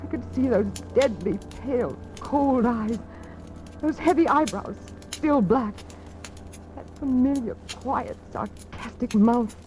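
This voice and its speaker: An actress playing a frightened woman hunted by a killer in a 1940s radio drama, with breathless, emphatic, halting delivery. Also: a little high, mellow, slight background noise, low-quality recording. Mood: dread. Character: frightened, agitated single woman hunted by her mother's murderer. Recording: vintage radio recording, faint music.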